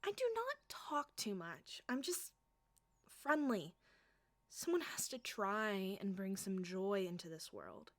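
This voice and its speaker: Soft-spoken teasing woman, slow and close. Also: medium pitch, big pitch swings, no room sound. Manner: playful, teasing, often whispering close to the mic. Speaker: voice actress performing an audio roleplay. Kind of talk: flirty ASMR roleplay.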